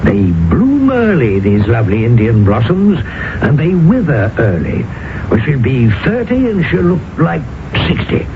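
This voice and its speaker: A worldly colonial-era writer in an old radio drama. He admonishes and reflects wryly, in a measured but animated voice. The broadcast is noisy and narrow in band. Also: mid-range voice. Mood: cautionary. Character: worldly British writer in colonial India, cautious counselling friend. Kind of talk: 1980 radio drama acting and storytelling.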